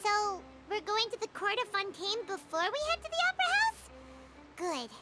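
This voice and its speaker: A woman voicing a tiny childlike fairy companion in a video game, squeaky, perky and eager, with music behind her. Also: big pitch swings, normal speed. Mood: helpful, eager. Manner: perky, refers to herself in third person. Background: prominent music.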